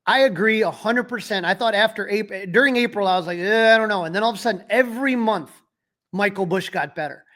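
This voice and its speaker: A man with a notably high-pitched voice talks sports as a podcast co-host. His delivery is casual and conversational, and he states his opinions with emphasis. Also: quick pace, a bit bright. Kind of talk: casual sports talk and analysis.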